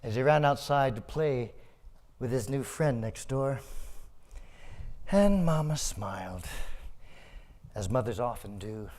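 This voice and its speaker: A man's voice: medium pitch, animated, slow pace, long pauses, a little mellow. A man speaking to an audience as a live storyteller and performer. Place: community hall performance.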